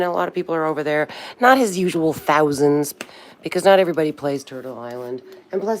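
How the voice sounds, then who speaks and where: A woman in her sixties with a low voice chats loosely on a home livestream. She drifts between topics and hums bits of songs to herself. A faint room hum sits behind her. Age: 60s. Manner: chatty, sings snatches of birthday songs to herself. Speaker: livestream host. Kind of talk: rambling casual livestream chat.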